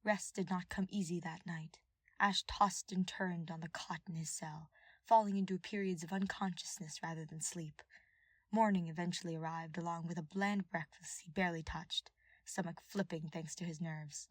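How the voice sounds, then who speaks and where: A woman reading scripted fiction aloud as an expressive audiobook narrator. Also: lowish voice, moderate pace, dry recording.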